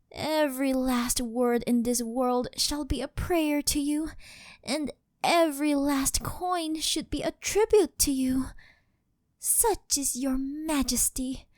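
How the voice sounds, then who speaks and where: Girl doing a devoted yandere doll maid for asmr, super slow and worshipful, formal, really dry close sound. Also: sits high, a little mellow, dry recording. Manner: reverent, formal, servile, gushing. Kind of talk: ASMR roleplay.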